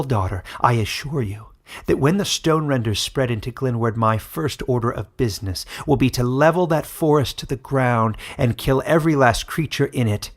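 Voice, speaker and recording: A man's voice: mid-range voice, close to an everyday voice. A man voicing a cruel, mocking woman ruler in a fantasy audiobook, haughty and theatrical in delivery. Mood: menacing. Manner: scornful, sneering, theatrical. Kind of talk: dramatic fantasy audiobook character reading.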